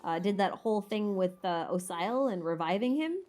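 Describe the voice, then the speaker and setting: A woman's voice: mid-range voice, lively intonation, normal speed, darkish tone. A woman livestream host chatting casually about a game, thinking aloud in an informal way. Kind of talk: casual chat, game lore rambling. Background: background music.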